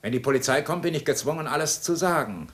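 An actor playing a cool, sardonic escaped convict in a German radio crime drama, mocking and coaxing with cunning politeness. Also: male voice, fairly high pitch, lively intonation, fast talker, a bit bright, slightly rough. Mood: insinuating.

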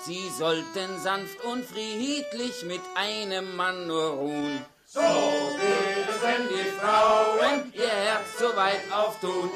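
An actor in a German radio play, playing a weary robber and drinker who sings for a tavern. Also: male voice, sits high, slow pace. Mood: mocking, wry. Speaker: tavern musician. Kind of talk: German radio play acting with slow ballad singing. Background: accordion and guitar music.

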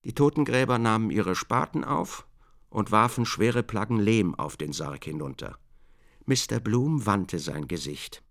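A sober literary narrator for a radio drama. His voice is fairly low with a heavy gravel edge. He takes each phrase very slowly and deliberately. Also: expressive. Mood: measured, sober. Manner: deliberate, measured.

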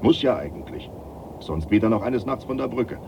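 Through clear background noise, a deep-voiced shipboard helper in a radio drama. He is loyal and plain-spoken, with a deferential, soothing manner. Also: expressive, normal speed. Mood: reassuring. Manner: respectful, reassuring, folksy. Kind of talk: German radio play acting. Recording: muffled, noisy radio play recording.

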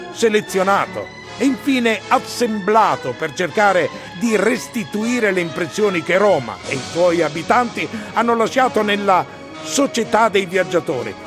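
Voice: mid-range voice, close to an everyday voice. A performer playing a solemn herald, reading a formal, declamatory proclamation for a theatrical radio piece. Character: solemn herald delivering a mock-official proclamation to Romans. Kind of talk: scripted theatrical narration in Italian radio drama. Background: music.

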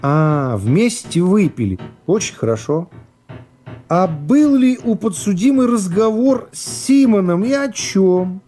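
A pompous prosecutor played by a male narrator speaks in a radio courtroom reading. His high voice stretches out formal questions with false naivety and sly insinuation. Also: very animated, takes it slowly, dark tone, soft background music, exaggerated voice. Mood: insinuating, smug. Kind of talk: dramatised literary reading.

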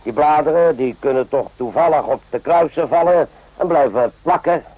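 Man acting as a mellow-voiced, grumbling army sergeant. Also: on the high side, normal speed, no room sound, close to an everyday voice. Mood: grumbling, dutiful. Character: army sergeant, suspicious, grumbling, loyal, plain-spoken subordinate. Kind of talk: radio drama acting in Dutch. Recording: dull, muffled old radio recording.

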